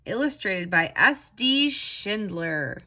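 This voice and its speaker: A woman's voice: medium pitch, big pitch swings, takes it slowly, bright, forceful tone. A woman tutor reading to children in a sing-song storytelling style, with chatty asides. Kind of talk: expressive read-aloud and craft lesson for children.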